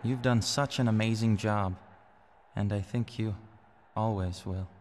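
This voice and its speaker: A man playing an earnest, blunt young mercenary hero in a video game cutscene, plain-spoken and steady. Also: fairly low pitch, measured pace, slight background noise, natural voice. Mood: firm, encouraging. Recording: long hall reverb, faint music.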